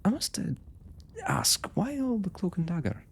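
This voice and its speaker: A man's voice: high-pitched, huge pitch swings, moderate pace, breathy edge, heavily acted. A man playing a young royal blacksmith in an intimate fantasy roleplay, speaking to the listener in courtly, hesitant, old-fashioned phrasing. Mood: wary, questioning. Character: young male royal blacksmith, smitten, forthright, proud working man.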